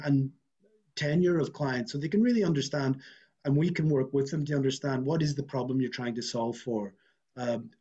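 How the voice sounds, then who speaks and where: A man's voice: mid-range voice, warm tone. A middle-aged man speaking in a fluent, businesslike way on a webinar panel over a video call. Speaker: outsourcing panelist. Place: indoor room, video call. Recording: dull lo-fi call audio.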